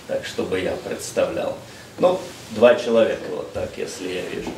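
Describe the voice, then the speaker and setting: A middle-aged man seated at a table in a seminar room, giving a measured lecture with frequent hesitations and slipping in English phrases. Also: medium pitch, darkish tone. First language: Russian. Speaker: visiting lecturer. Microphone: distant room mic, speaker seated across the room. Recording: echoey room, speaker far from mic, faint hiss.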